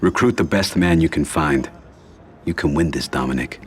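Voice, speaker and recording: An actor playing a calculating mafia don in a video game, giving counsel in a measured way. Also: male voice, extremely low pitch, slightly rough. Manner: measured, advising. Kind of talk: video game crime drama acting.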